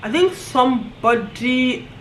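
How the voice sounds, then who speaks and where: A woman with a Nigerian accent telling a story on her vlog in an animated way, often breaking into laughter. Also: sits high, takes it slowly, slight background noise. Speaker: vlogger. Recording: roomy echo, faint ambience.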